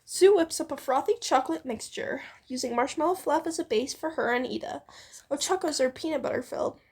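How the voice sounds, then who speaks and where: A woman's voice: high-pitched, a little fast, darkish tone. A woman reads fan fiction aloud as a co-host, playfully narrating and readily breaking off to joke and giggle. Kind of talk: playful co-read story narration with banter.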